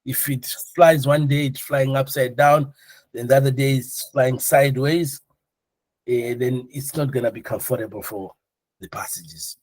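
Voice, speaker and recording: A man's voice: medium pitch, moderate pace, darkish tone, no room sound. Man teaching in a dry, close sound. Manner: jokey, conversational, questioning. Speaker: air traffic control course instructor. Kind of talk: informal classroom teaching.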